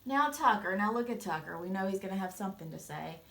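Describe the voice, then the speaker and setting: A woman reading a storybook aloud and explaining it to children in an expressive, gentle way. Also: mid-range voice, fast talker, gravelly.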